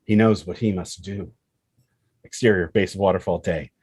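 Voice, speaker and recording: A male narrator reads screenplay action and scene headings. His voice is fairly low and raspy, recorded dry with no room sound. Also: steady tone, normal speed, darkish tone, no echo. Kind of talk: scripted screenplay narration, reading stage directions.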